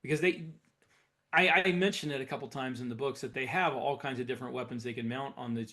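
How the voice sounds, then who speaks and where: A man's voice: mid-range voice, fast talker, bright, forceful tone. A man co-hosting a podcast, talking casually as a science fiction writer in an unscripted discussion. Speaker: podcast co-host and military sci-fi writer.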